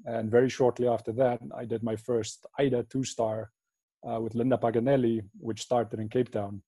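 Flat-voiced South African man. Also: mid-range voice, moderate pace, slightly rough, dry recording. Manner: relaxed storytelling with fillers. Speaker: guest, freediving instructor. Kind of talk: casual interview.

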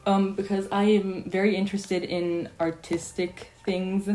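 A teenage girl, a native Italian speaker, answering interview questions casually and thinking aloud with many pauses. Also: mid-range voice, level tone, dark tone, a little hiss or hum. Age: teens. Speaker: student guest. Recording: echoey room.

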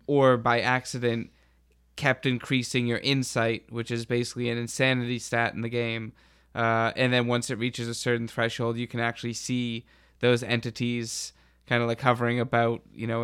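Casting: male podcast panelist, mid-range pitch, fairly flat intonation, conversational delivery. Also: clean tone, no echo, studio quality. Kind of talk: casual podcast discussion.

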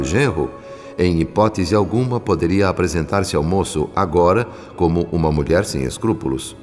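An actor with a Brazilian accent playing a grave, benevolent spirit who narrates a radio soap opera in solemn, literary, deliberate phrasing. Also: male voice, sits low, natural voice. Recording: long reverb, music underneath.

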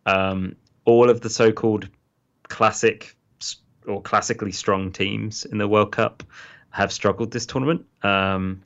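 A man's voice: lowish voice, steady tone, measured pace, highs cut off. An English man co-hosting a podcast, chatting casually, with easy laughs and lots of fillers. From England. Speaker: podcast co-host. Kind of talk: casual chat.

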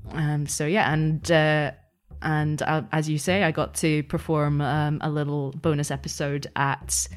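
Low-pitched young woman, casual and tentative. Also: level tone, a little slow, faint music behind. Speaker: guest, audio fiction producer and game master. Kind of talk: casual interview chat.

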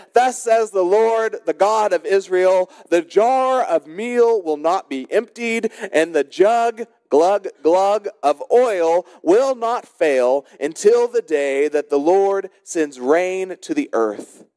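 Higher-voiced male preacher, close dry sound. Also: animated, a little mellow, no room sound. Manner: emphatic storyteller, varies between brisk narration and slow dramatic phrasing. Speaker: pastor preaching. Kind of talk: sermon with storytelling and scripture exposition.

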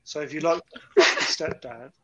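British bloke, bit high, bright punchy voice, crude jokey podcast chat. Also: male voice, very animated, normal speed. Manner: crude, irreverent joking. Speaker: podcast co-host. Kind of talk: casual comic banter.

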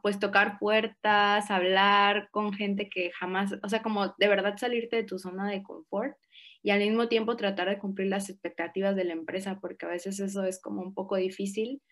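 A young woman with a Mexican accent talks through her experiences in a relaxed, reflective way. Her voice sits at an ordinary middle pitch, and she leans on small fillers as she thinks aloud. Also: moderate pace. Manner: relaxed, reflective, frequent fillers like "o sea" and "como". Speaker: interview guest. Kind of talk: casual interview answers.

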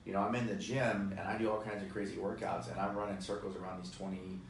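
A man in his forties speaks with a very deep voice, talking fitness in a loose podcast chat. He is emphatic and energetic, leaning on "you know" and "right?". The mic is distant in an echoey room, with faint noise. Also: quick pace, vocal fry. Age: 40s. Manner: energetic, emphatic, many fillers. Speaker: fitness guest expert.

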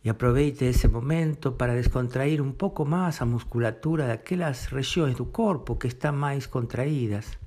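A man leading a guided meditation, giving gentle step-by-step instructions in short phrases with long pauses between them. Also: mid-range voice.

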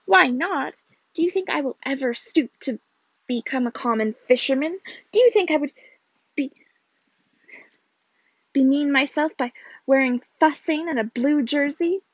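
A woman's voice: high voice, unhurried, long pauses, no room sound, dull audio. A woman voicing a sulky, boastful, bad-tempered young man in a storybook, with a snarling, mocking manner. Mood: angry, scornful.

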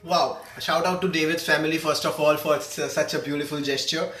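A man's voice: a little high, steady tone, fairly quick, sharp tone. Upbeat man hosting a podcast. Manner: enthusiastic, rambling with many fillers. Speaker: podcast host. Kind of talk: casual podcast chat. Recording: echoey room with music behind.

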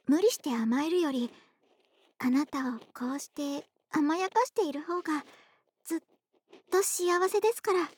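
An actress playing a gentle, polite, caring neighbor woman in an intimate ASMR voice drama, speaking closely to one listener. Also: sits high, a little slow. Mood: wistful, confiding. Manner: polite, soft-spoken formal speech addressed to the listener. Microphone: close ASMR microphone, dry studio.

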